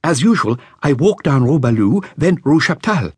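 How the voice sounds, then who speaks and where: A man's voice: on the high side, very animated, normal speed, darkish tone, husky, no room sound, pitched above the performer's own voice. A man voicing a nervous, earnest young male flautist telling what he witnessed. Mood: anxious. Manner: anxious, breathless, earnest.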